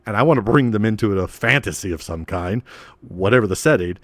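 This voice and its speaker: Low-voiced man chatting on a podcast. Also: fairly quick, no echo. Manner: animated, self-deprecating, with frequent restarts and laughs. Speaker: podcast co-host and game master. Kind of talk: casual podcast chat.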